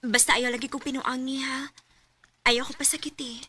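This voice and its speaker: Radio drama ingénue: shy young daughter, sharp high voice, Cebuano accent. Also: female voice, little pitch movement, moderate pace, a little hiss or hum. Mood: bashful, pleading. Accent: Filipino, Cebuano. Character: shy, good-hearted young sweetheart, a dutiful daughter. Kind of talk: Filipino radio drama acting.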